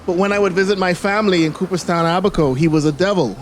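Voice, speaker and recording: A man's voice: high-pitched, slight background noise. Bahamian man with a half-American accent. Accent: Bahamian with American mix. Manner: reflective, many fillers and restarts. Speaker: guest filmmaker. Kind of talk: casual interview talk.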